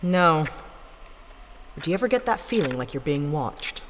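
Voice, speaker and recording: Casting: male actor as impatient, dry-witted adventurer, higher-pitched, blunt delivery, sci-fi radio play with cavernous reverb. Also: sing-song, normal speed. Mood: sardonic, uneasy. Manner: dry, sarcastic, curt. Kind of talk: sci-fi audio drama acting. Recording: long cave reverb, faint noise and ambience.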